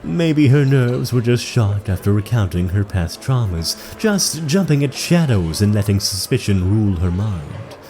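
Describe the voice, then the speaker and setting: An actor playing a solemn storyteller, narrating a fantasy audio drama in a dramatic, literary manner. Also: male voice, on the low side, sing-song, measured pace, hardly any pauses, dark tone, soft background music. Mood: suspenseful.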